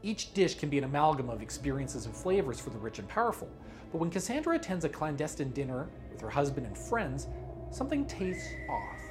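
A man reading a scripted promotional pitch as a creative director, with dramatic storyteller-like emphasis. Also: sing-song, brisk, over music.

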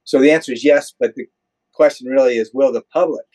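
A man's voice: mid-range voice, a little fast, darkish tone. An older American man, an airline pilot being interviewed, explaining things in a conversational, matter-of-fact way. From United States.

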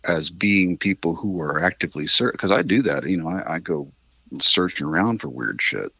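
A middle-aged man with a husky voice, chatting candidly and casually as an interview guest, rambling a little and swearing mildly. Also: low voice, animated, moderate pace, sharp tone, no room sound, lo-fi sound. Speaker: interview guest, independent musician.

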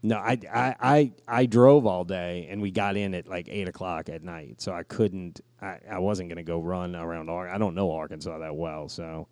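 Deep, mellow-voiced man bantering casually. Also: steady tone, moderate pace, hardly any pauses, no echo. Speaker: podcast co-host.

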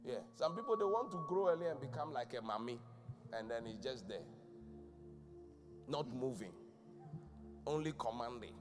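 A man's voice: mid-range voice, lively intonation, moderate pace, long pauses. A man, a bishop preaching a live church sermon in a chatty, questioning way. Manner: conversational preaching, quizzing the congregation. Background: keyboard music under the voice.

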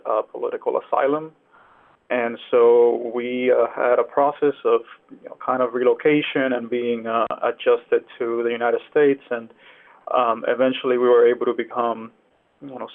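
A young man from Cuba, an attorney, answering interview questions casually with frequent ums and uhs. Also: mid-range voice. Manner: hesitant, many fillers. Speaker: guest attorney. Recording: tinny phone-line sound.

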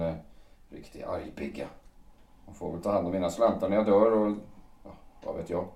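Male actor as world-weary vain playwright, wry and musing, mellow, distant from the mic, period audio drama. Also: sits low. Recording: roomy echo, distant from the mic.

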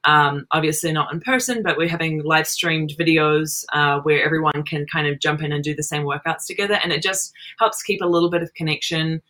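A young woman with an Australian and New Zealand accent talks in a low, bright voice. She rambles through her points with fillers in a casual podcast chat. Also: steady tone, brisk, few pauses. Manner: rambling, with frequent fillers and laughs. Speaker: roller derby jammer and captain.

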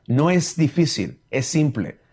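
A man's voice: fairly high pitch, big pitch swings, darkish tone. A man hosting a motivational business podcast, who slips phrases into his Spanish and stops to correct himself. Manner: mixes English phrases into Spanish; halting, self-correcting restarts. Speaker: podcast host. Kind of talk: casual motivational chat.

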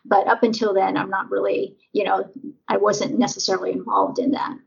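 A woman telling her life story in an interview, halting and full of fillers as she reflects. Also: medium pitch, little pitch movement, creaky, slightly rough. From Vietnam, raised in Nebraska. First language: English; Vietnamese basic. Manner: halting, many fillers and restarts. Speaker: interview guest. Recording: dull lo-fi audio.

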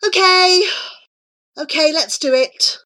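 A woman's voice: very high-pitched, huge pitch swings, measured pace, sharp tone, pitched above the performer's own voice, heavily acted. A woman voicing a nervous young boy in a children's story, reluctant and dramatically emphatic. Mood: uneasy resolve. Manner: protesting, emphatic.

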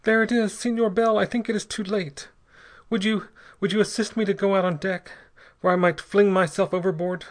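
A man voicing a tormented, formal Argentine gentleman in an adventure story, gasping and pleading in halting, unsteady phrases. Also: a little high, voice pushed up, lightly acted. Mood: strained, resigned. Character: poisoned Argentine gentleman, desperately polite, gasping, fighting madness.

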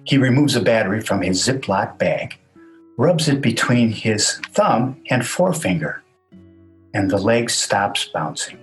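A man's voice: on the low side, big pitch swings, unhurried, soft background music. A man narrating a radio play, reading scripted stage directions.

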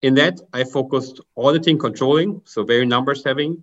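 A man from Germany with a German accent, a guest author answering interview questions in measured, slightly formal phrasing over a video call. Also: mid-range voice, dull audio. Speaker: guest author, procurement consultant.

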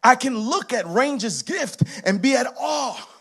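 A man with a strikingly high voice delivers a church sermon. He drives his points home with emphatic, stacked repetitions that rise to shouts, then loosens up with laughing, conversational asides. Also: expressive, a little slow, gravelly, husky. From Haitian heritage. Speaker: preacher.

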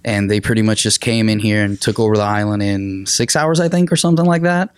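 A man's voice: on the low side, fairly quick, smooth, no room sound. A man from Puerto Rico, in his thirties, a comedian guest on a casual podcast, swearing and laughing easily.

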